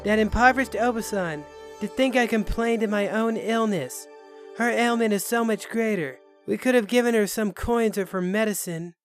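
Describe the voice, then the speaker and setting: A high, girlish young priestess, acted out by a male narrator, speaks in formal, wordy sentences. She is earnest, polite and stubborn, like a storybook heroine, with music clearly playing underneath. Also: normal speed, lightly acted. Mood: pitying. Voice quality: high-pitched put-on girlish voice.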